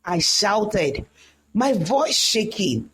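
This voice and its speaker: A storyteller reading a scripted first-person tale in a dramatic, deliberate way. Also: medium pitch, very animated, a bit bright, slight background noise.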